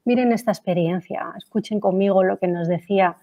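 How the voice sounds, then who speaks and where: A woman's voice: mid-range voice, fairly quick, muffled recording. Hesitant woman lecturing from slides. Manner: hesitant, many fillers and restarts; reads quotes aloud, asks rhetorical questions.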